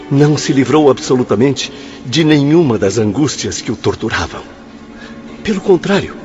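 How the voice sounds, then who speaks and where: An actor with a Brazilian accent playing an earnest spirit instructor in a radio drama, emphatic and declamatory. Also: male voice, on the low side, darkish tone, dull audio. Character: earnest male spirit instructor lecturing his friends gravely.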